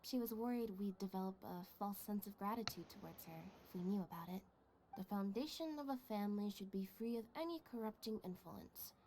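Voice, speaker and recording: An actress voices a calm, reserved young woman from a video game, her voice at a middling pitch. She speaks evenly and without fuss, in a quiet and loyal way. Game music plays behind her. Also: lightly acted. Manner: calm, even, understated. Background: game soundtrack music.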